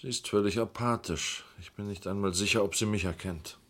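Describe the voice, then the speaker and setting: A man's voice: on the low side, a little fast, a bit bright. An actor playing an indignant, defiant man under accusation in a German crime radio play, stiff and scornful. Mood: subdued, curt.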